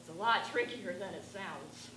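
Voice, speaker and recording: A teenage girl playing an eccentric, rambling bag lady who talks to aliens, with a raspy voice and a ranting, confiding stage-monologue delivery. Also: mid-range voice, moderate pace, natural voice. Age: teens. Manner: ranting, confiding, addressing passers-by. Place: stage performance. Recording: echoey room with background noise.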